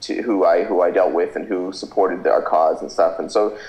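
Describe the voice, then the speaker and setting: A man with a fairly low voice speaks over a video call, sounding hesitant and often restarting his sentences. His audio carries a noticeable room echo. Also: fairly even intonation, moderate pace, darkish tone. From Philippines. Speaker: Skype guest. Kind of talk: casual interview answers.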